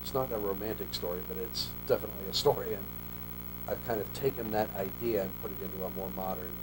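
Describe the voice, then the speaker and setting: A man's voice: deep voice, fast. A man in his forties, born in Australia, talking casually and at length as an author guest in a television interview. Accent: American English, faint Australian trace lost. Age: 40s. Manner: relaxed, talks in long loose sentences with restarts. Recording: mains hum under a local TV studio recording.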